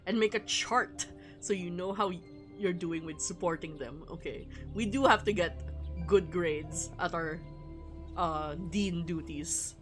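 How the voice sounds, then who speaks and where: A woman's voice: on the low side, a bit bright. A woman livestreaming a visual novel, chatting casually in a wry, rambling way and reading game text aloud. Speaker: streamer playing a visual novel. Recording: music behind the voice. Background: music.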